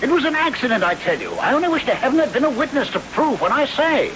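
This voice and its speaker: A smooth, amoral gentleman in an old radio play. His voice is high and swings widely as he theatrically protests his innocence. The broadcast recording hisses clearly. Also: very animated, fast talker. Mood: protesting, agitated. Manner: indignant, theatrical pleading. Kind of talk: 1970s radio drama acting.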